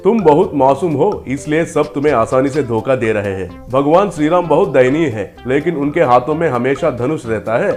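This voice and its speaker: A man's voice: medium pitch, expressive, moderate pace, hardly any pauses, darkish tone, soft background music, lightly acted. A man voicing a kindly, solemn holy man in a cartoon story, instructing and admonishing.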